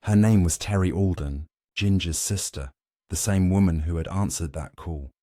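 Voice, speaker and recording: Male narrator, low and dark-toned, for solemn, suspenseful scripted storytelling delivered in short dramatic phrases. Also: moderate pace, dry recording, studio quality.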